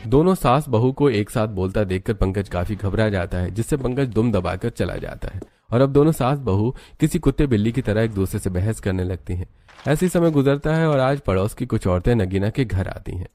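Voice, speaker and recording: Low, dark-voiced male story narrator. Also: expressive, brisk, soft background music.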